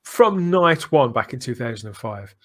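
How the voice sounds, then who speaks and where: A man's voice: mid-range voice, big pitch swings, moderate pace, fairly bright tone. A British man hosting a casual fan podcast, chatty and often repeating phrases as he builds a point. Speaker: podcast host.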